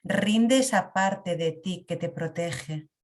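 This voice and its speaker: Woman talking you thru a meditation, kinda low voice, calm, lots of pauses. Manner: slow, calm, reflective guidance with long pauses. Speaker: meditation guide. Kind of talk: guided emotional meditation.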